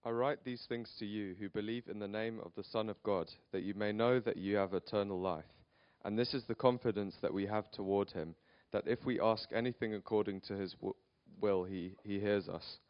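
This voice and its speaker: A man's voice: lowish voice, fairly even intonation, normal speed, few pauses, dry recording. Man, reading scripture, careful, dry, muffled. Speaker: scripture reader.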